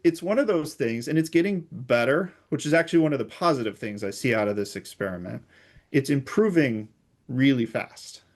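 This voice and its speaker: Guy with mid-range voice explaining security stuff as an interview guest, measured and conversational. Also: medium pitch.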